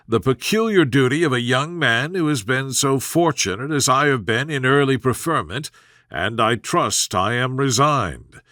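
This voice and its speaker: A pompous young gentleman from a period storybook, as a male narrator plays him. He is stiffly formal and long-winded, with wounded, stately displeasure. The recording is dry and close. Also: medium pitch, dry recording.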